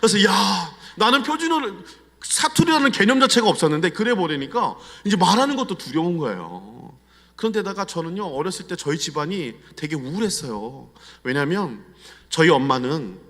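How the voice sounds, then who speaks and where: Animated man preaching in reverberant church. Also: a little high. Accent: Chungcheong Korean. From Chungcheong, Korea. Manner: animated, confiding storyteller. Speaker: guest pastor. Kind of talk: storytelling sermon. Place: church sanctuary. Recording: long church hall reverb.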